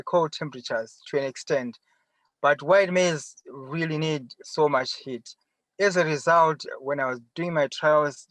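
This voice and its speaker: A middle-aged man with a Zimbabwean accent answering interview questions conversationally from inside a car, often pausing and rephrasing. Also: a little high, fairly bright tone, no room sound, low-quality recording. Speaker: interview guest, farmer. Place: sitting in a parked car.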